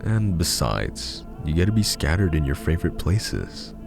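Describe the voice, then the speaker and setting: Guy doing sweet chirpy daughter in a horror story, coaxing, concerned, lifted but still gravelly, music behind. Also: extremely low pitch, sing-song, moderate pace, pitched above the performer's own voice, heavily acted. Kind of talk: horror story character narration.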